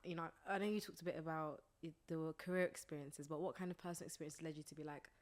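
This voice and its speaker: A woman's voice: low voice, steady tone, fast talker. A woman hosting a casual interview, informal and affirming as she frames her questions. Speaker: podcast host.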